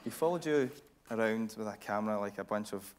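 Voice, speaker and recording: A man's voice: lowish voice, fairly quick, a little hiss or hum. A man interviewing a guest on stage in a conversational style, as a TV reporter. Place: radio theatre stage.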